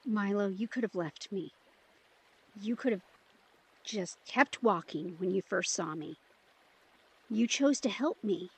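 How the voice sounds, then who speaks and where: A woman playing an insecure, teasing, newly turned vampire in an intimate audio drama, earnest and hesitant, with a slightly breathy voice. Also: medium pitch, animated, slow, long pauses, heavily acted.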